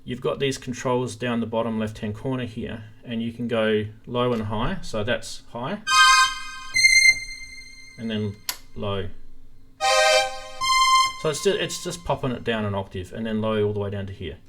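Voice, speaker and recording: A man's voice: fairly low pitch, measured pace, long pauses, loud music behind the voice. Casual man presenting a live stream demo. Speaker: host of a synthesizer live stream. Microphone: stream microphone.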